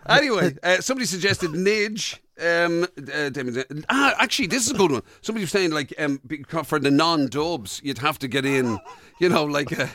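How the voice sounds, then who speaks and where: A man's voice: fairly high pitch, animated, brisk, pushed and bright, no room sound, studio quality. An Irish man co-hosting a radio show in casual, teasing banter, sometimes searching for the word. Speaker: radio co-host.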